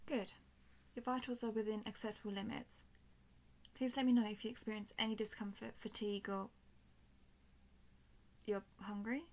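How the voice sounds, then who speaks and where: A female robot soldier, acted by a woman, speaks with a fry-edged voice at a medium pitch. She is formal, measured and emotionless, yet dutiful and protective as she addresses the listener in an audio roleplay. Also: close to an everyday voice.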